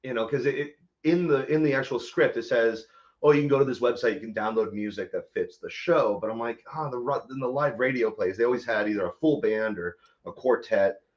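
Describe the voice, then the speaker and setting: A man's voice: mid-range voice, a little fast. A man, a theater actor, explaining things casually as an interview guest. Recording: dull, lo-fi sound.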